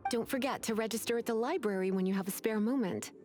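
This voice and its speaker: A woman's voice: fairly high pitch, fast talker, darkish tone, close to an everyday voice. A woman voicing a teasing, sultry, authoritative witch librarian in a video game. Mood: composed, coy. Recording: music behind the voice.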